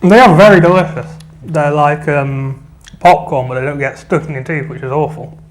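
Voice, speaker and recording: Male co-host, lighter pitch, easygoing interviewer. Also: moderate pace, low-quality recording. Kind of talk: studio chat show hosting.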